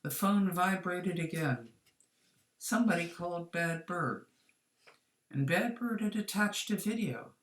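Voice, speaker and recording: A woman's voice: lowish voice, expressive, unhurried, reverberant, low-quality recording. A woman reading a scripted story as its author, with dramatic delivery. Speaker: author reading her own short story.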